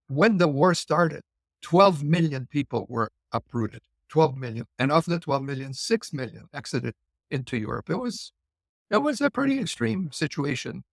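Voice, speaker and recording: A man's voice: a little high, sing-song, normal speed, gravelly, dry recording, studio quality. A middle-aged Canadian man explaining points thoughtfully in a conversational discussion, with frequent fillers and restarts. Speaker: guest immigration commentator.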